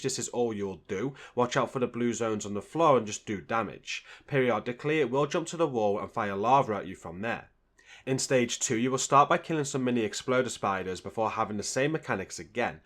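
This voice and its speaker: A man's voice: medium pitch, fairly bright tone. A man hosting a gaming video, reading scripted guide narration in a straightforward, instructional way.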